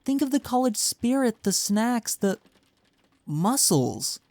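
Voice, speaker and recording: A man's voice: very high voice, very animated, slow pace, darkish tone, no room sound, full character voice. A young man acting in an intimate audio roleplay as a caring, teasing college best friend, talking casually and earnestly. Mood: playful, chatty. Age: young adult.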